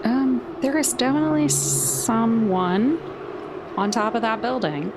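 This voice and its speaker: A woman's voice: a little high, measured pace. Woman from south Louisiana, tabletop player, wry and blunt casual talk, very noisy recording. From south Louisiana, United States. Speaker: player in a tabletop role-playing game. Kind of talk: casual game chat.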